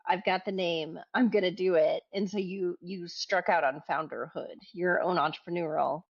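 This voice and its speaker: A woman's voice: lowish voice, steady tone, normal speed, breathy edge. A woman from Atlanta hosting an interview podcast, chatting with enthusiasm and lots of filler words. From Atlanta, USA. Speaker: podcast host. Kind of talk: casual interview conversation. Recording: slightly dull lo-fi audio.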